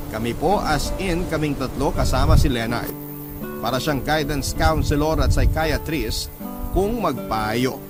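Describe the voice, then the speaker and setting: A man's voice: on the high side, animated, measured pace. An actor playing a sincere, reflective young man who narrates his own love story in a radio drama. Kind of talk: first-person dramatized narration. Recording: loud music bed under the voice.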